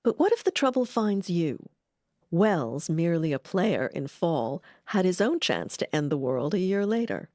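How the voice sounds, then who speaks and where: A woman reading scripted documentary narration in a measured, articulate way. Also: lowish voice, very animated, mellow, no echo, clean studio sound.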